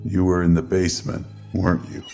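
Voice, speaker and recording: A man playing a drunk, grief-stricken uncle and secretive former exorcist in a horror story, speaking in a halting mutter with a gravelly voice. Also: level tone, dark tone, soft background music. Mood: weary, probing. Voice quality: gravelly, creaky low voice. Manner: slow, halting, muttering delivery. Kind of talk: dramatic horror story acting.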